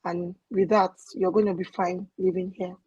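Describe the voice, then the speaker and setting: Husky, mellow-voiced woman giving advice. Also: fairly low pitch, normal speed, dry recording, lo-fi sound. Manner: calm, instructive, with fillers. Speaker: guest.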